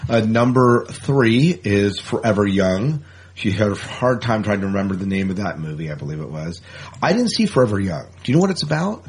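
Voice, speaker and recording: A man's voice: lowish voice, very animated, fairly quick, husky. A man hosting a podcast in a casual, informal, easygoing chat style. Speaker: podcast host.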